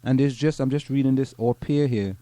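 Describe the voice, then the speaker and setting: A middle-aged Trinidadian man reads a news piece aloud and then drifts into casual chat, often stumbling and repeating words as he goes. His voice is dark and mellow, and the recording is dry with almost no room sound. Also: mid-range voice, fairly quick, no room sound. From Trinidad and Tobago. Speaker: podcast co-host.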